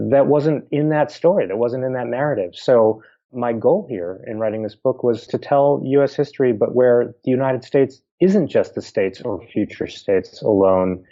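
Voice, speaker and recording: A man's voice: medium pitch, warm tone, lo-fi sound. A middle-aged man with a Pennsylvania accent, a historian answering interview questions in a thoughtful, explanatory way with informal asides. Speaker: historian guest.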